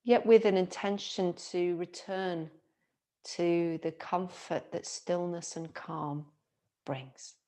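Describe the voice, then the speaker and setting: A woman yoga teacher guiding a relaxation meditation in a soothing, gentle manner with long pauses. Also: lowish voice, fairly even intonation.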